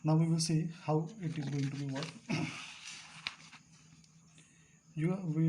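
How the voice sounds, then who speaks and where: A male teacher explaining a device to students, repeating key terms slowly and clearing his throat now and then. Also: fairly high pitch, mellow, a little hiss or hum, rough recording. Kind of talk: classroom lecture at a board.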